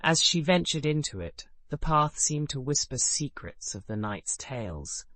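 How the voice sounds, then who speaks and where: A man narrating a scripted bedtime story in a calm, measured way, his sentences falling away at the ends. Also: on the high side, no room sound.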